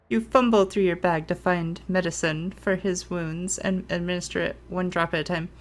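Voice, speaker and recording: A woman's voice: on the low side, measured pace. A woman giving casual, giggly gameplay commentary, exclaiming and reading game text aloud. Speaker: game streamer. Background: game music.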